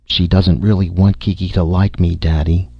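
A man's voice: low-pitched, level tone, normal speed, vocal fry, breathy edge, no room sound, full character voice. A man voicing a sensitive, sick little boy who pleads in a faint, breaking voice in an audiobook. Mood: tearful. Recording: muffled audio.

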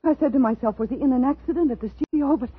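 An actress playing an anxious, clinging middle-aged wife in 1950s radio drama, with an intense, dramatic delivery. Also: female voice, high voice, rapid-fire, slightly rough. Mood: worried, fussing. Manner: dramatic, intense delivery, swinging from hushed narration to pleading. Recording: tinny, noisy 1950s radio recording.

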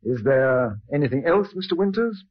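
An actor playing a courteous, probing police inspector in an old-time radio drama, questioning politely and deliberately. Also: male voice, medium pitch, big pitch swings. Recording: muffled old radio recording.

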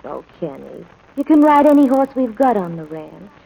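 A woman playing a gentle, soothing ranch mother in a 1940s radio drama, coaxing and protective, on a noisy old recording. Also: on the high side, very animated, moderate pace, vocal fry, narrow, tinny audio, heavily acted.

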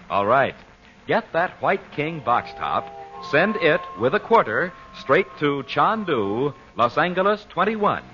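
A man announcing and narrating an old-time radio show in a theatrical, deliberate, emphatic scripted style. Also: mid-range voice, bright, forceful tone. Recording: dull old radio recording, faint music.

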